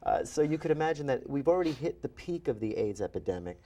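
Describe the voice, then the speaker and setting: A man in his forties from New York, a physician and author, talking in an animated, self-deprecating way as an interview guest. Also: mid-range voice, fast talker, slight background noise. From New York, USA. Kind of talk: interview conversation.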